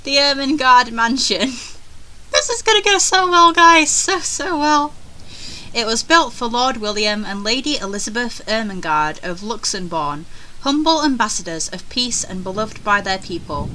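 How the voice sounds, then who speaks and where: Bright-voiced excitable woman gamer. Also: mid-range voice, a little hiss or hum, low-quality recording. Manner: excitable, chatty, easily startled. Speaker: host of a gaming let's play. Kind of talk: casual let's-play commentary, reading on-screen text aloud.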